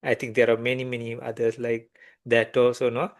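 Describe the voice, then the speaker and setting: A man whose first language is Sinhala, a meditation teacher answering gently and hesitantly, with many fillers. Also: medium pitch, completely flat delivery, brisk. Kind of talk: conversational interview answers.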